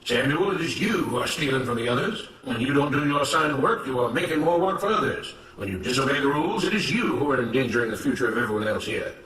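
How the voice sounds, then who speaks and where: A man's voice: mid-range voice, animated, quick pace, hardly any pauses, fairly bright tone, voice pushed up, close to an everyday voice. A man voicing an authoritarian plantation boss in a story, lecturing on order and duty with pompous self-righteousness. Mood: accusing. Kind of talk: dramatized story reading. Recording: roomy, noisy, distant microphone.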